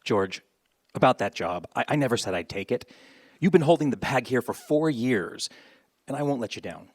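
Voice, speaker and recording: Man playing animated, boyish younger brother. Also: medium pitch, moderate pace, dry recording, studio quality, lightly acted. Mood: earnest. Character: cheerful, good-natured younger brother home from college. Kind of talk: live radio play acting.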